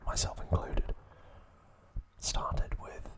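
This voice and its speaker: A young man hosting ASMR in a breathy, unvoiced whisper, halting and hushed, close to the mic. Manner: slow, hushed, halting with long pauses. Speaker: host of an ASMR channel. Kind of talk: ASMR, whispered informal trivia talk.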